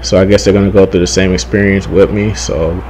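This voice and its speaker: Low-voiced young man, noisy recording. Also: level tone, a little mellow. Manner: repetitive, halting, swearing freely. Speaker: gaming YouTuber. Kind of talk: casual gameplay commentary, rambling.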